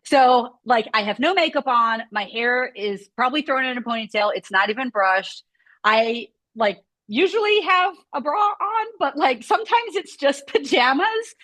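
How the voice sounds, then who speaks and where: Chatty woman talking fast. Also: high-pitched, lively intonation, a bit bright, no room sound. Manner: chatty, rambling, lots of "like" fillers. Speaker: scoliosis movement studio owner. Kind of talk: casual video chat conversation.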